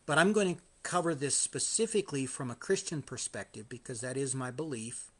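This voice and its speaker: Slightly hoarse man hosting a podcast. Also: mid-range voice, animated, brisk, fairly bright tone. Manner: chatty and self-deprecating with frequent restarts. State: slightly hoarse after choir singing. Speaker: podcast host. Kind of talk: live-streamed casual monologue.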